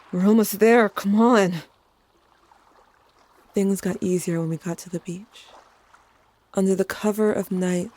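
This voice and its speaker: Woman acting as weary storyteller, somber, unhurried, audio drama narration, faint background noise. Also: fairly low pitch, mellow. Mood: straining. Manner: measured, somber. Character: somber, weary narrator recounting haunted memories. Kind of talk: scripted first-person audio drama narration.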